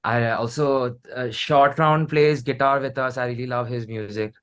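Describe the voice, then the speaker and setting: Halting, informal Indian man. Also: mid-range voice, steady tone, clear, resonant tone. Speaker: musician, interview guest. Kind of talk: casual chat.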